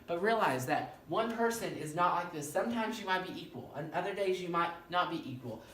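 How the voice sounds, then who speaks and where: A male professor with a fairly high-pitched voice, giving an animated classroom lecture and often checking in with "okay?". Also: brisk. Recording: echoey room, mic far off, faint noise.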